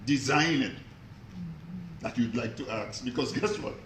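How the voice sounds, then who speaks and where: A man giving a motivational talk to a live audience, energetic, asking them questions and calling out to them. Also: mid-range voice, unhurried, roomy sound, a little hiss or hum. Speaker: motivational speaker. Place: echoey room with an audience.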